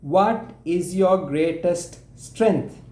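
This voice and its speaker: Slow, patient Sri Lankan teacher. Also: male voice, high-pitched, a little mellow, reverberant, slight background noise. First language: Sinhala. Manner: patient, repeats questions, brief praise. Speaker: spoken English teacher. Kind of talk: oral drill over a call.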